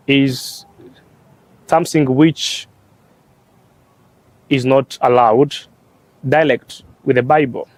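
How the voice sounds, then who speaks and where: Slow, halting man from Tanzania. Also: mid-range voice, a little mellow, dry recording. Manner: slow, halting delivery in short phrases with frequent "uh". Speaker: religious brother and law student. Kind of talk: explaining church teaching on a talk show.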